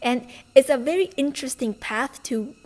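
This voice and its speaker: A woman's voice: high-pitched, moderate pace, darkish tone, rough recording. A woman giving an informal motivational talk to camera, conversational and emphatic, with rhetorical questions and asides. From Vietnam. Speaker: spiritual self-development video host.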